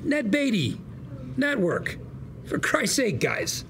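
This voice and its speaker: A scrappy, high-pitched, gravelly lawyer. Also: male voice, wildly swooping pitch, slow, fairly bright tone, a little hiss or hum, full character voice. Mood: exasperated. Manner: sarcastic, combative, emphatic. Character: scrappy, indignant male lawyer, sarcastic and theatrical. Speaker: actor at a script table read. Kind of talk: acted script read at a table.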